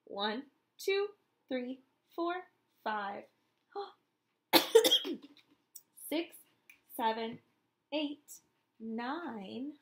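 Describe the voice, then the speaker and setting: Female teacher, 30s, very high bright voice, animated picture-book read-aloud for little kids. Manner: animated, exaggerated wonder, addressing young children with questions. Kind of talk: children's read-aloud counting lesson.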